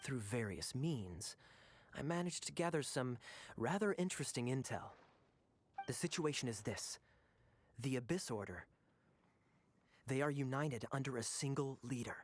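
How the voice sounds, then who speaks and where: Suave, sly young knight captain. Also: male voice, medium pitch, lively intonation. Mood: sly, measured.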